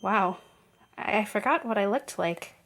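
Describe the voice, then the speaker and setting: A woman's voice: medium pitch, animated, unhurried, a bit bright, slight background noise. Disillusioned young actress, wry and wounded, played by a woman. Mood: tender. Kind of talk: audio drama acting.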